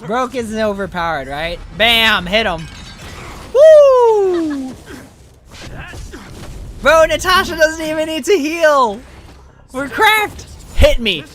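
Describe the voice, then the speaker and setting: A game streamer doing casual, excitable live commentary in slangy talk peppered with bro and dude. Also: high-pitched, takes it slowly, pauses often.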